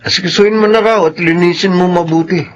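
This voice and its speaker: An actor with a Filipino accent playing a matter-of-fact funeral parlor worker in a radio drama. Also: male voice, high voice, fairly even intonation, quick pace, dull audio, close to an everyday voice.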